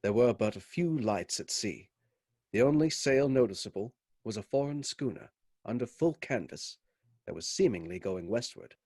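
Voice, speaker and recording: An actor playing a sober gentleman doctor who narrates a radio play in a formal, measured way. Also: medium pitch, animated, dry recording, clean studio sound. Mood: grave reporting. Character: Doctor Seward, sober gentleman doctor presenting evidence.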